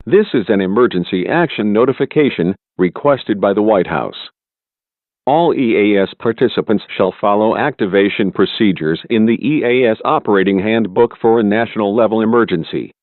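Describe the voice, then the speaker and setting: Male alert announcer, formal and official, lowish voice, heard through a narrow, tinny radio-like line. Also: moderate pace, dry recording. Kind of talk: scripted emergency action notification.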